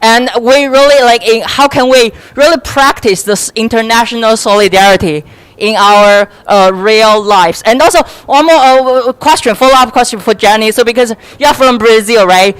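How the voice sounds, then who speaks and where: Casting: woman, smooth clear voice, dry sound, earnest and halting conference questioner. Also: a little high, no room sound, rough recording. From mainland China. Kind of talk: spoken question from the floor.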